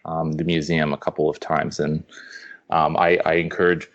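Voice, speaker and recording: A man guesting on a podcast, explaining art in a halting way with many fillers. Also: extremely low pitch, level tone, muffled recording. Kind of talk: casual explaining.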